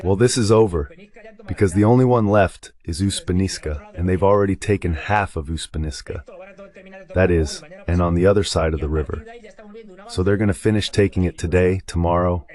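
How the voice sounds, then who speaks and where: A man with a low-pitched, synthetic AI dubbing voice gives live map commentary. He explains step by step and repeats short phrases as he points things out. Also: darkish tone. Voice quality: synthetic dubbed AI voice. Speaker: guest commentator.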